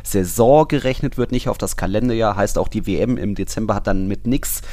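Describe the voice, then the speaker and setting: A man's voice: lowish voice, a little fast, warm tone, no room sound. A man hosting a football podcast, explaining things in an informal, conversational way. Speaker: podcast host. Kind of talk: casual football podcast talk.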